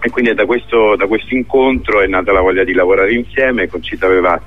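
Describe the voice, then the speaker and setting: Low-voiced man on a phone-like line. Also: quick pace, dry recording, faint music behind. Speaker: theatre director and interview guest. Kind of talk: conversational radio interview. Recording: thin phone-like radio line.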